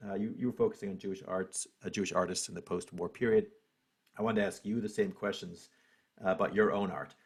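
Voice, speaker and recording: A man's voice: low-pitched, fairly even intonation, quick pace, breathy edge. A man hosting an online question-and-answer session on a video call, talking casually with many fillers. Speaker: program host.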